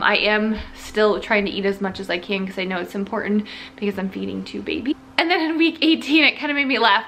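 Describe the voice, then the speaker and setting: A woman's voice: mid-range voice, fairly bright tone, slight background noise. A young woman from Ohio giving a casual, rambling vlog update, restarting sentences and laughing a little. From Ohio, United States. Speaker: vlogger.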